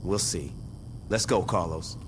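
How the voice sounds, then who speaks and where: A man's voice: low voice, measured pace. An actor playing a tough, sarcastic male gang leader, terse and threatening, in a video game cutscene. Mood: defiant. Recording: loud background noise.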